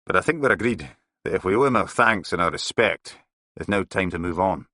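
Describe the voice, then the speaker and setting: A man voicing a stern male police inspector with a Scottish accent, speaking in a grave, measured way in a storybook reading. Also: low-pitched, lively intonation, pushed and bright, no room sound, clean studio sound, full character voice.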